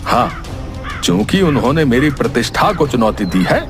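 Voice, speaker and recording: A man's voice: mid-range voice, sing-song, moderate pace, music in the background. A man voicing a pompous, bitter middle-aged village headman in a cartoon story, blustering in a rustic way with interjections and curses. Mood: bitter, grudging. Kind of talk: cartoon moral-story acting.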